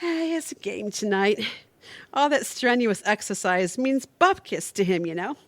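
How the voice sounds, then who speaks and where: A woman's voice: medium pitch, animated, normal speed, no room sound, close to an everyday voice. A woman playing a chatty, playful girlfriend of a hockey player in an amateur audio comedy.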